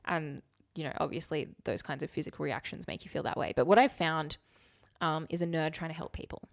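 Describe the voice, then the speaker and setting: A woman's voice: low-pitched, fairly even intonation, a little fast, gravelly, dry recording, clean studio sound. A woman co-hosting a podcast in casual chat, reading bits aloud with wry, candid asides. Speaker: podcast co-host.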